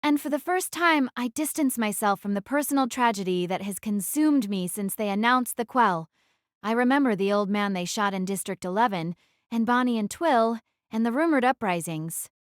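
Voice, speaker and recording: A woman's voice: on the high side, animated, normal speed, dry recording, studio quality, close to an everyday voice. A woman playing a defiant, brooding teenage girl who narrates her own story in an audio drama. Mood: resolute, grave. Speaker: narrator and lead actress.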